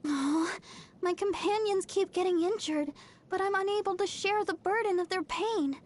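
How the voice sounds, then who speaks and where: A woman's voice: extremely high pitch, normal speed. A woman playing a sweet, earnest, perky teenage girl idol healer in an anime video game. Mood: sad, earnest.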